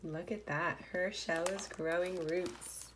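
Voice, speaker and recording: A woman reading a picture book aloud to young children like a teacher, in expressive, deliberate short phrases with asides. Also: on the low side, a little hiss or hum.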